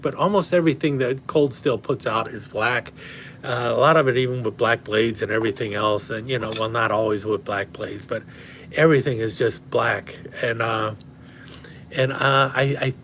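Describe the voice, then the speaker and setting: A man hosting a casual livestream and rambling with lots of fillers and restarts, his voice slightly hoarse from talking. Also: mid-range voice, a bit bright, slight background noise. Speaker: knife collector livestream host. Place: at home indoors.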